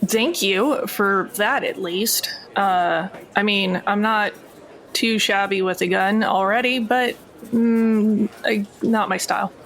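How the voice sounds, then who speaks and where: A woman's voice: medium pitch, slow, fairly bright tone. A woman playing a reluctant, sarcastic young female agent recruit in a tabletop game, dry, hesitant and sardonic.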